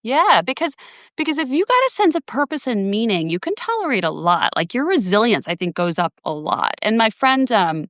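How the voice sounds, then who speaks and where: A woman's voice: on the high side, big pitch swings, a little fast, a bit bright, dry recording, studio quality. A woman in her forties, hosting a casual live video conversation, reflective and thinking aloud with many restarts. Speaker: host. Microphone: video call.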